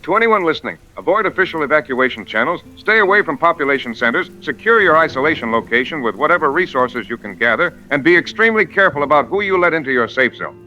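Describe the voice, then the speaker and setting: A man playing a blunt, grim, urgent outbreak expert who gives deliberate, emphatic instructions in a radio drama interview. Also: fairly high pitch, expressive, sharp tone, soft background music. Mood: urgent warning. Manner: deliberate, emphatic, listing advice. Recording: dull lo-fi radio sound.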